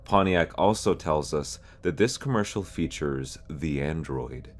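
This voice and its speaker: A man's voice: a bass voice, expressive, measured pace. A man hosting an explainer series in a semi-scripted narration style. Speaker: host of an analog horror explainer series.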